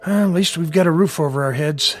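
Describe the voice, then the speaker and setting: Man acting a weary, higher-voiced army medical sergeant. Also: brisk, natural voice. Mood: grim, resigned. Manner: frank, world-weary. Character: weary, frank army medical sergeant tending war wounded. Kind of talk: audio drama acting.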